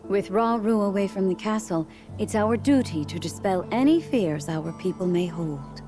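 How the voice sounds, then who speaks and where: An actress playing a gentle, wise queen and mentor in a video game, speaking with calm, encouraging poise. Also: medium pitch, moderate pace, music in the background, lightly acted. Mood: thoughtful. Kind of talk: video game cutscene acting.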